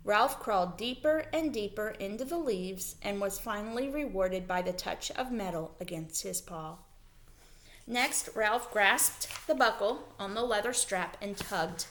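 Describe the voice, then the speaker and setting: A woman reading a children's story aloud as a teacher, in expressive narration. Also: medium pitch, unhurried.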